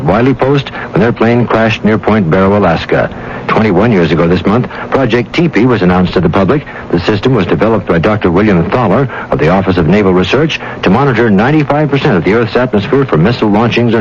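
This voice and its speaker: A man reading a scripted radio announcement in a clipped, formal announcer style. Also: fairly low pitch. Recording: muffled, faintly noisy radio recording.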